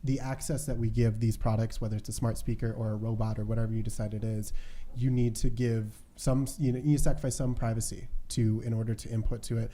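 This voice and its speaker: A man's voice: fairly low pitch, little pitch movement, quick pace, hardly any pauses, dark tone. A man co-hosting a podcast, talking through ideas in casual discussion. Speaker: podcast co-host.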